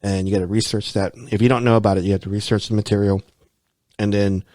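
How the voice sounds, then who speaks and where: A man's voice: on the low side, little pitch movement, fast, darkish tone, no room sound, studio quality. An American man hosting a podcast, talking casually and rambling, often repeating himself and saying "you know". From United States. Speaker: podcast host. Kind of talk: casual conversation.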